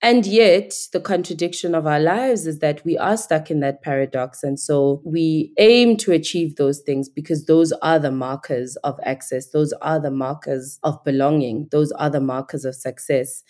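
A woman's voice: low voice, moderate pace, few pauses, a little mellow. A woman from Nigeria, a podcast guest, talking in a thoughtful, articulate and earnest way. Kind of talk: reflective conversational interview.

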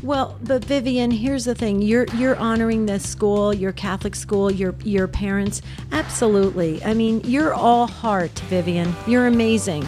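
A woman's voice: mid-range voice, fairly even intonation, moderate pace, hardly any pauses, darkish tone, faint music behind. A woman hosting a radio call-in show and encouraging her callers. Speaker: radio host.

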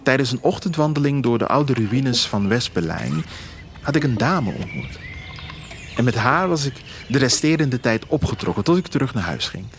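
A man narrating a scripted first-person story in a measured, literary way. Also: medium pitch, big pitch swings, slight background noise. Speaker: author reading own story as narrator. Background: music.

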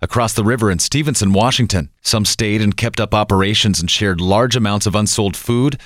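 A man's voice: lowish voice, fairly quick, fairly bright tone, dry recording, clean studio sound. A man with an American accent reading scripted radio news as an anchor and reporter.

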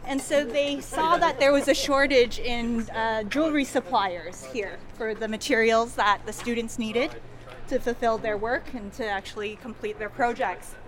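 A woman's voice: on the high side, moderate pace, crowd noise. A woman guiding a small group on a food tour, chatting and explaining as she goes, with fillers here and there. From Canada. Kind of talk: casual guided tour talk. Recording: loud street noise behind.

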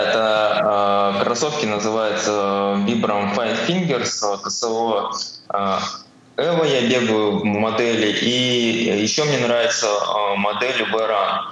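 A man in his forties answering interview questions casually, telling his own story in halting, broken phrases as he thinks aloud. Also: lowish voice, fairly bright tone. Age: 40s. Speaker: podcast guest, amateur barefoot ultrarunner. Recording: very echoey room, faint background noise.

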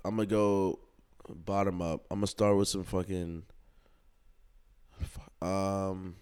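A young man with a very low voice co-hosts a loose, casual chat. He rambles, swears freely and stalls on fillers while thinking. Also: level tone, slow pace, a little mellow, clean tone. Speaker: podcast co-host.

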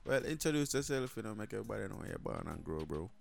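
A man's voice: fairly low pitch, big pitch swings, fast talker, creaky, soft background music. A man hosting a casual show, laid-back and slangy, hyping up his guests. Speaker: radio show host.

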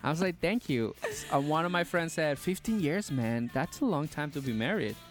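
A man's voice: fairly high pitch, lively intonation, normal speed, soft background music. A man from Chile co-hosting a live show with casual, playful, teasing banter. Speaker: co-host of a live-streamed show.

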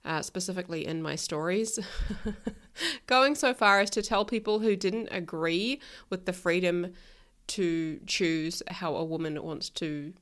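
A woman's voice: on the low side, unhurried, fairly bright tone. A woman with a New Zealand accent hosting a solo podcast, talking frankly and outspokenly, her voice a little nasal from being ill. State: nasal from illness. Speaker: podcast host, life coach. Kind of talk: casual solo podcast monologue.

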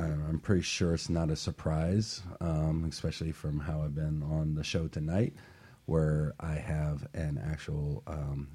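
A man with a very low, somewhat husky voice hosts a radio talk show. He sets out his opinions in a reflective, halting manner, often repeating a word before going on. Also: mellow.

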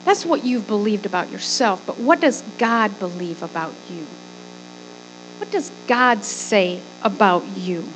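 A woman's voice: mid-range voice, expressive, measured pace, a bit bright, soft background music, poor audio. A middle-aged woman preaching conversationally as a pastor, with emphatic, folksy delivery, rhetorical questions and repeated phrases. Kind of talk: conversational sermon with acted-out asides.

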